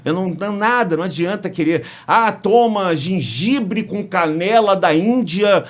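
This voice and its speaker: A Brazilian sports commentator with a Brazilian Portuguese accent, a podcast guest telling lively stories with restarts and jokes. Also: male voice, high voice, a little slow. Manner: animated storyteller, frequent restarts, jokes. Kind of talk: casual interview storytelling.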